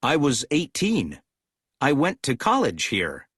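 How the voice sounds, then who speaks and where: Brief: woman voicing a calm hairstylist, very low and breathy, slow and even. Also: no room sound, studio quality, exaggerated voice. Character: calm adult hairstylist chatting with a client. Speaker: hairstylist in a recorded textbook dialogue. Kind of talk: scripted textbook listening dialogue.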